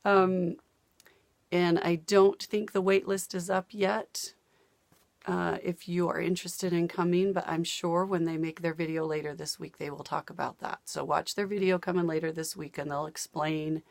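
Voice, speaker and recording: Older woman with a fading gravelly voice. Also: lowish voice, little pitch movement, moderate pace, darkish tone, no room sound. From United States. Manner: chatty, rambling, laughs often. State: losing her voice. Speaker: cross-stitch vlogger. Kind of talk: casual chat to camera. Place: home.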